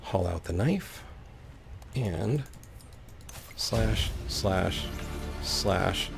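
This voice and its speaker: A man's voice: low-pitched, animated, very slow, vocal fry, music in the background. A man giving casual gameplay commentary, thinking aloud in a whimsical, musing way. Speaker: let's player, host.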